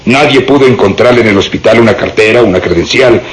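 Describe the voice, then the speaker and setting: Un actor interpretando a un inspector de policía insistente y triunfal, de hablar declamatorio, en una radionovela. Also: male voice, fairly low pitch, quick pace, lightly acted. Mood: confident, triumphant. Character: insistent, triumphant male police inspector, sure of himself. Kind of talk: radio drama acting.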